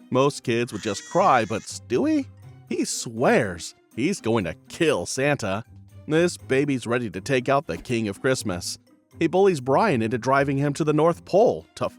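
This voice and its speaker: Playful male recap narrator. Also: medium pitch. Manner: playful, chatty. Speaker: channel narrator.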